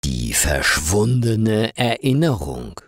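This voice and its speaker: Deep, slow-reading male storyteller. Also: dry recording, clean studio sound. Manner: slow, carefully articulated reading with lively intonation. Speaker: storyteller for language learners. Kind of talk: scripted story narration.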